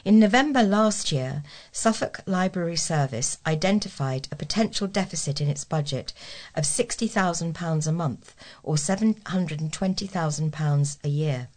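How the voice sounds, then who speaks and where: A woman's voice: sits low, lively intonation, moderate pace, darkish tone. A woman reading local news aloud as a volunteer newsreader, formally and with care.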